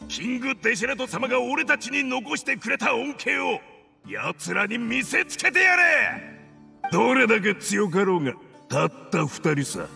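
A proud, grim fanatic commander from a desert video game. His gravelly voice swings widely in pitch as he declaims like a battlefield prophet, ringing out in long reverb over music. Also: expressive, moderate pace, pushed and bright, full character voice. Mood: commanding, fierce. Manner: theatrical, declamatory, rough-spoken. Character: defiant male desert fanatic warband leader, grim and proud. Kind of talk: dramatic video game voice acting.

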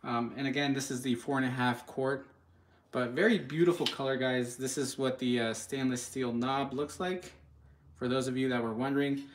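A man's voice: medium pitch, steady tone, normal speed, slight background noise. A man giving casual live product commentary in a home kitchen, speaking informally and a bit hesitantly straight to viewers. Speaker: product reviewer, channel host.

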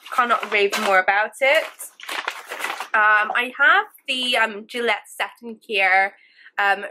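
A gushing, rambling woman in her twenties, a little stuffy from a cold, with a fairly high voice. She chats into a camera mic in her bedroom, with steady background noise underneath. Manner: chatty, gushing, rambling with fillers. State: slightly congested from a cold. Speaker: beauty vlogger. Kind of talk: casual product review chat. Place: bedroom with shelves, indoors.

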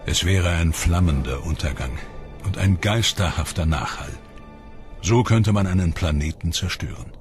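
A man narrating a science documentary in a measured, dramatic scripted delivery. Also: deep voice, animated. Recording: studio voice-over with music behind. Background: clear background music.

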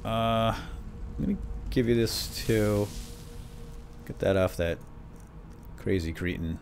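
Male let's player, unhurried and low, musing aloud with playful asides over game noise. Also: a little mellow. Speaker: gamer commentator. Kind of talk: lightly role-played let's play commentary. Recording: game ambience noise behind voice. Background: video game cave ambience and effects.

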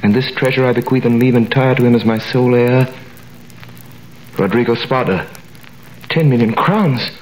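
A man's voice: mid-range voice, moderate pace, pauses often, a little mellow, lightly acted, poor audio. An actor playing a young prisoner who narrates his story in a 1930s radio drama, with earnest, dramatic delivery. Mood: amazed, earnest. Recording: old radio recording with faint hiss.

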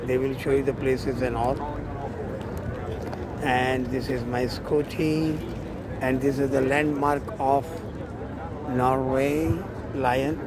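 A middle-aged man from India with an Indian accent, talking in halting, repeated short phrases for a casual outdoor vlog on a phone. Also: mid-range voice, level tone. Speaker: vlogger and matchmaker. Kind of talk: casual selfie travel vlog. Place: outdoors in an open city square. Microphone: phone at arm's length. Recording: loud outdoor city square noise. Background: urban ambience and vehicles.

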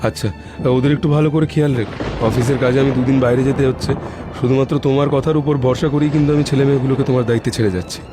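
A man's voice: medium pitch, steady tone, quick pace, background noise, close to an everyday voice. A man playing a concerned husband and father in a cartoon story, earnest and instructing. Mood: cautioning. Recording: prominent music under the voice.

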